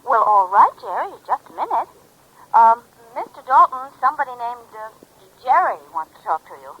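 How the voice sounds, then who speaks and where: A detective's nervous companion in a vintage radio show. Her voice is high and slightly rough, on a dull old recording. She speaks guardedly and haltingly. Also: sing-song. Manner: careful, halting. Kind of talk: 1940s radio drama acting.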